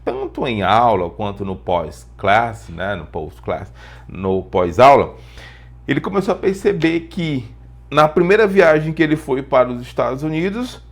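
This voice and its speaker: A man's voice: mid-range voice, animated, a little slow. A man with a Brazilian accent giving an informal lesson as an English teacher, explaining through personal stories, repeating phrases for emphasis and posing rhetorical questions.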